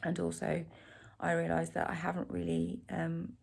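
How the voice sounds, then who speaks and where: A woman in her forties, hoarse from a cold, chatting casually in a home vlog recorded on her phone, rambling with plenty of ums and likes. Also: on the low side, monotone, normal speed, darkish tone. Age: 40s. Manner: rambling, self-deprecating, many ums and likes. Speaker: vlogger. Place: living room at home. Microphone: phone camera.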